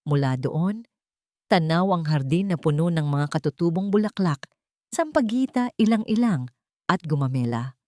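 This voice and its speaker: A woman's voice: deep voice, expressive, moderate pace, darkish tone, dry recording, studio quality. A woman reading a scripted dramatic story as its narrator.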